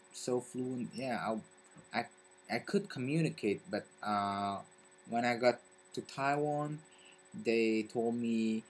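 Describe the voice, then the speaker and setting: A young man from Belgium with a French accent, talking casually to camera in a halting way and searching for his words. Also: lowish voice, slight background noise. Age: young adult. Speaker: vlogger. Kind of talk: casual vlog talk to camera.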